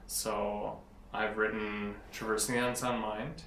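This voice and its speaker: A young man speaking in a low, fairly flat voice. He talks earnestly and wanders as he searches for words. He sounds a little distant from the mic, in an echoey room with faint background noise. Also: a little slow. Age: 20s. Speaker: interviewee, blogger. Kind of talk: casual interview.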